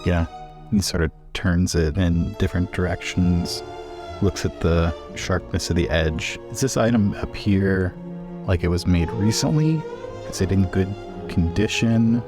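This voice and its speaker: A man with a low voice plays a tabletop roleplaying game. He speaks thoughtfully and deliberately, inquisitive as he questions and describes actions, over faint music. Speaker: player in a roleplaying podcast.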